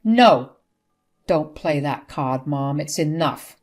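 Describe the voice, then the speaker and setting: A woman's voice: sits low, expressive, slow pace, darkish tone, heavily acted. Grown son performed by a woman, angry, hurt, firm, accusing, exasperated, family storytime drama. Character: hurt, angry adult son rejecting his mother.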